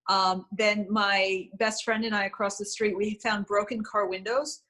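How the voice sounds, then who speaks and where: A woman answering interview questions in a relaxed, candid way. She is self-deprecating and often starts sentences with um or uh. Her pitch sits in the ordinary middle range for a woman. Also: unhurried. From United States. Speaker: interview guest, entrepreneur.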